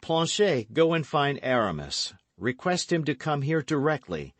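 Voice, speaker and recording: A man voicing an eager, brash young gentleman in an audiobook, speaking with emphatic, commanding and affectionate delivery. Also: on the high side, very animated, measured pace, no echo, voice pushed up. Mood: urgent, affectionate. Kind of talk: audiobook character acting.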